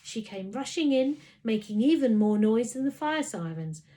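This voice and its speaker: A woman narrating a children's story in an expressive storytelling style. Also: a little high, moderate pace. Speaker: story reader.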